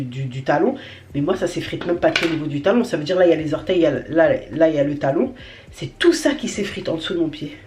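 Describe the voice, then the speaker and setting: A woman's voice: low voice, a little mellow. Candid young woman vlogger with a cold. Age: young adult. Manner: slangy, candid, grumbling self-deprecation. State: has a cold. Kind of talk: casual chatty vlog talk to camera.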